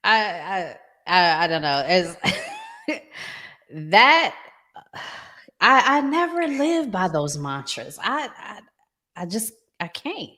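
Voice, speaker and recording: A woman's voice: mid-range voice, big pitch swings, takes it slowly, long pauses, pushed and bright. An American woman hosting a casual discussion, speaking as a podcast presenter. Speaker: podcast host. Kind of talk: casual discussion of relationship topics.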